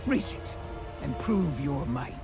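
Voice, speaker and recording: A stern orc warrior baroness from a fantasy game. Her low female voice is commanding and solemn, with slow, deliberate delivery over music. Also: expressive, heavily acted. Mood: proud, solemn. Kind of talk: dramatic fantasy game dialogue. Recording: loud music behind.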